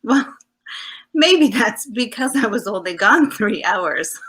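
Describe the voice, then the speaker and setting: A woman's voice: mid-range voice, animated, slow, a bit bright, rough recording. A woman playing an affectionate, playful detective's wife in 1940s radio drama style, with coy banter. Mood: affectionate, teasing.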